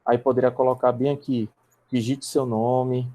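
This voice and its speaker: Brazilian Portuguese man, programming instructor, online class, step by step explaining, frequent check-in tags, average pitch. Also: little pitch movement, normal speed, warm tone. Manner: repeats and restarts words while typing. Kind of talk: live online coding lesson.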